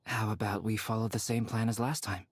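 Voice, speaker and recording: A man voicing a calm, gentle young intellectual in a video game, composed and polite. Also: on the low side, brisk, slight background noise, natural voice.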